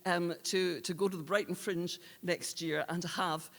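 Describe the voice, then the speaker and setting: A woman presenting at a live awards event, speaking informally and haltingly. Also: lowish voice, fairly even intonation, gravelly. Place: large indoor hall at an awards event. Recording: echoey reverberant hall.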